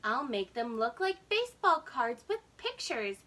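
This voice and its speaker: An eager, playful young alligator boy from a children's storybook, played by a woman. The voice is high and bright, with excitable exclamations that swing up and down. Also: unhurried, distant mic, a little hiss or hum, full character voice. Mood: determined.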